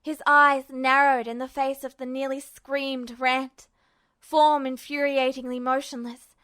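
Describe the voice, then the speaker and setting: A woman's voice: sits high, a bit bright. A woman reading fan fiction aloud as a narrator, in a dramatic storytelling style. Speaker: podfic narrator.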